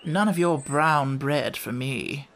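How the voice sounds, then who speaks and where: A man's voice: fairly high pitch, slow, a bit bright. A man voicing a haughty, self-assured storybook unicorn who talks in a languid, commanding way. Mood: breezy, eager. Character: haughty, carelessly confident unicorn.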